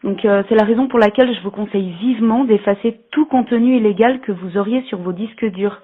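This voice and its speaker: A woman's voice: mid-range voice, brisk, lightly acted. Woman as an officious legal agent, tinny phone line. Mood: officious, polite. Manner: formal, courteous, businesslike. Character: polite, officious female law-firm anti-piracy agent. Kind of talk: scripted prank phone call.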